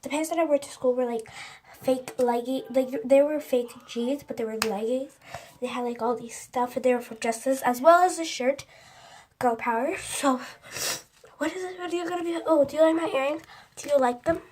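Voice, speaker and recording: A woman's voice: sits high, moderate pace, darkish tone. A teenage girl vlogging into her phone, rambling with lots of likes and restarts, sounding congested from a runny nose. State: stuffy, congested from a cold. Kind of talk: casual chat to camera.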